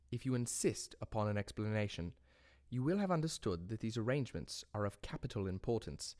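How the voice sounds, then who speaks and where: A man playing a desperate gentleman doctor in an audio drama, delivering a formal, grave, pleading letter. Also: lowish voice, natural voice.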